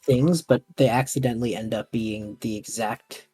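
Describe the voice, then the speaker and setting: A man hosting a casual podcast interview, thinking aloud in a halting way and rephrasing his questions as he goes. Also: medium pitch, steady tone, dark tone, slightly rough, no echo, faint music behind, low-quality recording. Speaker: podcast host interviewing a guest. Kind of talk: casual podcast chat about tabletop roleplaying shows.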